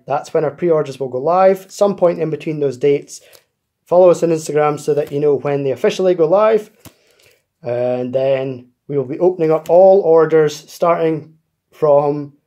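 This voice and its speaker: Guy opening trading card packs at his table, slightly high voice, chatty, going over sale details. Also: mellow. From United Kingdom. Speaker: card-opening channel host. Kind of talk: casual pack-opening chat. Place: indoors at a table, off camera.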